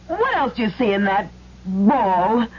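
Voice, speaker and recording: A woman's voice: medium pitch, big pitch swings, a little slow, breathy edge. A woman playing an eager, gullible client of a fortune-teller in a comic radio sketch. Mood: eager, excited. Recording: dull, faintly noisy vintage radio.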